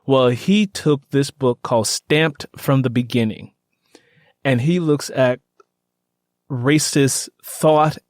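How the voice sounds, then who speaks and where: A man hosting a podcast interview, speaking conversationally with halting, repetitive phrasing. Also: medium pitch, no echo, studio quality. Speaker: podcast host.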